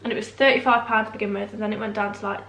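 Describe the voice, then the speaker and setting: A woman's voice: mid-range voice, fairly even intonation, rapid-fire, creaky, fairly bright tone, slight background noise. A young woman, a beauty vlogger, chatting rambly and self-deprecating with lots of fillers, still a bit nasal from a cold. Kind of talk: casual chatty vlog. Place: room at home, indoors. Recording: echoey room.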